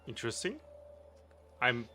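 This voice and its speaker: A man with a Portuguese accent chats casually with his stream audience. He rambles and chuckles often as he reads and answers the chat. His voice has a bright, forward tone, with soft music playing in the background. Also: mid-range voice, sing-song, a little slow. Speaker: game streamer.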